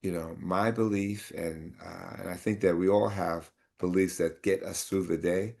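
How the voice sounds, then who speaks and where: A man sharing his own story of faith and then moderating a community panel, with halting speech and many fillers. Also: sits low, fairly even intonation, creaky, a little mellow.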